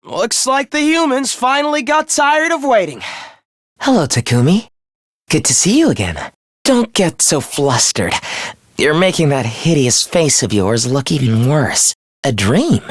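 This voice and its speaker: A man's voice: a little high, big pitch swings, measured pace, no room sound, studio quality. A young man playing a smug, mocking villain in a video game, with a condescending, theatrical delivery. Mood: coolly puzzled, then smug, taunting. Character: taunting, smug young villain living in someone's head. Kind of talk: video game character acting.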